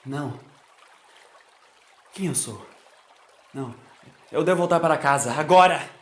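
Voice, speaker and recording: A man's voice: fairly high pitch, measured pace, echoey room, a little hiss or hum, voice pushed up, lightly acted. A Brazilian man voicing a haunted small boy with a wrathful, grandiose Time Lord soul, in an audio drama. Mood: bitter, agitated. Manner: impassioned, grandiose proclaiming.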